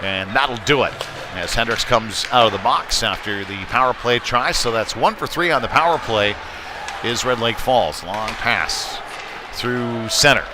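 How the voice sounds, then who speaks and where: Man calling hockey over clear background noise. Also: mid-range voice, a little slow. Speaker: hockey play-by-play announcer. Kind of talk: live sports commentary. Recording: noisy live rink stream.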